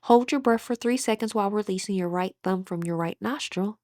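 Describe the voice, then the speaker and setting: A woman leading a guided meditation as instructor, speaking in a soft, breathy, soothing way with deliberate pauses. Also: mid-range voice, dry recording, clean studio sound. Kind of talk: guided meditation, scripted narration.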